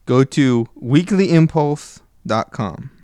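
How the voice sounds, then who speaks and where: A man's voice: mid-range voice, expressive, slow, dark tone, dry recording. A man speaking as a podcast guest in light interview banter, with dry, joking delivery. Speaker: interview guest, audio drama producer.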